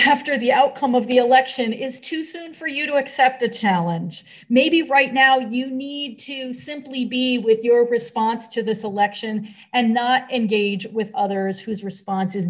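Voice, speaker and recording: A woman with an American accent giving a sermon to a congregation in measured, deliberate phrases with pauses. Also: fairly high pitch.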